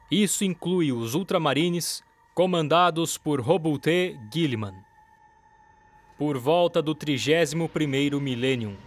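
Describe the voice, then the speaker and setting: Solemn male narrator, slightly high voice. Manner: solemn, deliberate. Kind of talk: scripted dramatic narration. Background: music.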